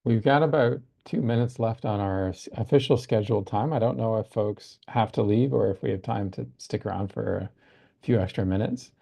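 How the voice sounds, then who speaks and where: A man's voice: on the low side, moderate pace, creaky, mellow. A man moderating an online academic seminar, speaking thoughtfully with many fillers and restarts over a video call.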